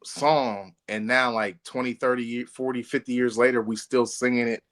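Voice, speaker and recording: Male podcast co-host, mid-pitched voice, casual and emphatic, slangy with some swearing, repeats himself to drive points home. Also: fairly even intonation, moderate pace, a bit bright. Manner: emphatic, repeats phrases for stress; informal slangy speech with profanity. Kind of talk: casual chat and friendly debate.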